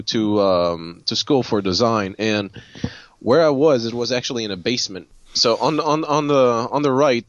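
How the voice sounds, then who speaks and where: A man from Haiti telling a personal story casually, in a halting way with repeated words. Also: lowish voice, no echo, muffled recording. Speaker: guest photographer and designer.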